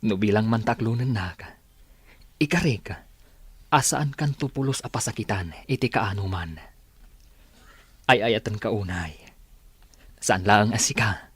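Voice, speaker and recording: An earnest suitor in an Ilocano radio drama. His medium-pitched voice has a husky edge. He speaks tenderly and pleadingly, like a man devoted to his beloved. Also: dry recording.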